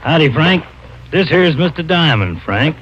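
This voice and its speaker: Laconic Western sheriff, noisy old radio. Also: male voice, on the high side, expressive, unhurried, a bit bright, lo-fi sound. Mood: easygoing. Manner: terse, plain-spoken country talk. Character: laconic, easygoing small-town Western sheriff. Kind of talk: 1940s radio drama acting. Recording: noisy band-limited old radio recording.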